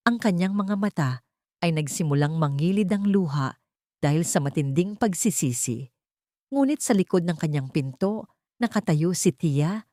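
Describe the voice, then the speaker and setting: A woman's voice: low-pitched, mellow, no echo, studio quality. A woman reading a scripted romantic drama audiobook as its narrator, in a dramatic storytelling style.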